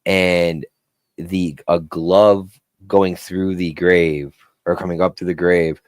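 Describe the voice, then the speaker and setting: Male podcast host, deep voice, dry studio sound, rambling casual wrestling talk. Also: level tone, slow pace, dry recording. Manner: rambling, filler-heavy, enthusiastic. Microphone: studio mic.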